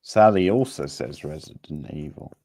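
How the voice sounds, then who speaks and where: A man's voice: very deep voice, lively intonation, moderate pace, vocal fry, mellow. A man casually hosting a live quiz, chatting with the players. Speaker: quiz host.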